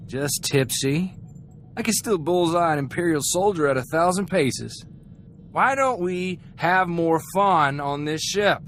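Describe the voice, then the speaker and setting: A man's voice: on the high side, expressive, a little slow, a bit bright, soft background music. An actor playing an earnest, idealistic young freighter crewman in a video game, talking in a folksy, chatty way. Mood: tipsy, nostalgic. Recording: clear background ambient noise.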